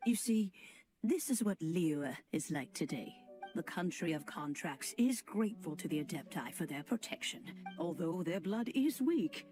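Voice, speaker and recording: Woman playing a lively, low-voiced genial granny. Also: normal speed, lightly acted. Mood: wise, reassuring. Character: genial, wise old granny, gently amused immortal. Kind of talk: video game voice acting. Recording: game music under the voice.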